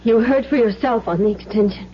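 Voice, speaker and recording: Woman acting a scared cornered wife, high-pitched, hesitant, pleading, old radio drama, muffled recording. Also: brisk, heavy background noise, close to an everyday voice. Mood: helpless, anxious. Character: frightened, cornered wife and mother, timidly pleading.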